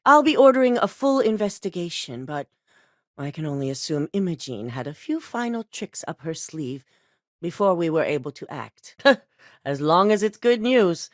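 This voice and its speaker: A calculating female executive gives orders with clipped, unbothered authority. Her voice sits fairly low yet rises and falls widely as she weighs her words. The studio recording is completely dry, with no room sound. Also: dry recording. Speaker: corporate boss giving missions. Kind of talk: video game voice acting.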